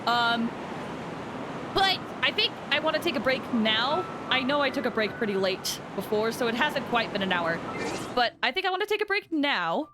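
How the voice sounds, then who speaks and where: A woman casually commentating on a live game stream, chatty and excitable, close on the microphone. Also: on the high side, moderate pace, noisy background. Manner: chatty, excitable, swoops into squeals.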